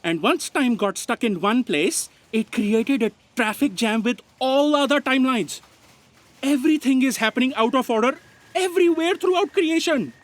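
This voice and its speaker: A woman's voice: high voice, lively intonation, moderate pace. A woman playing a sardonic, put-upon office aide in a comic sci-fi audio drama, sarcastic and exasperated.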